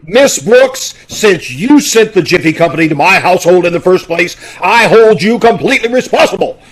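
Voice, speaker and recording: A man's voice: high-pitched, animated, normal speed, low-quality recording. A man playing a flustered, pompous older school principal who stammers and blusters, in old-time radio comedy style. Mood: indignant, blustering. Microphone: video call. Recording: amateur video-call recording.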